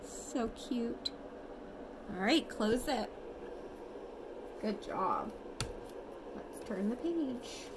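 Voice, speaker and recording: A woman's voice: a little high, noisy background. Sing-song woman reading a bedtime story. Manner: sing-song, encouraging child-directed speech. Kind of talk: read-aloud storytelling to a toddler. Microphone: phone at arm's length.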